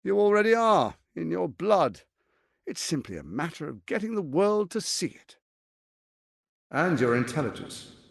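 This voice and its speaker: A scheming male archduke for a video game, his delivery swinging dramatically from hushed lows to soaring highs. There is a gravelly creak under the silky, pompous menace. The recording is close and dry, every phrase placed with theatrical relish. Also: big pitch swings, measured pace, no room sound, heavily acted. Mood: urgent, persuasive. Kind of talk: video game character acting.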